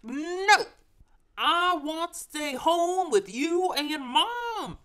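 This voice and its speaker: A woman's voice: very high voice, big pitch swings, very slow, bright, forceful tone, pitched above the performer's own voice, heavily acted. A woman voicing a whining, pleading little boy who dreads school, in a tearful childlike voice from a picture book. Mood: whiny refusal. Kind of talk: picture book character reading.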